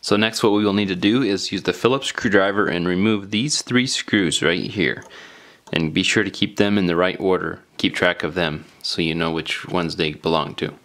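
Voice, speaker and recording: A man's voice: low-pitched, normal speed, fairly bright tone. A man giving casual, step-by-step hands-on instructions in a plain-spoken, informal way. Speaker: repair tutorial host.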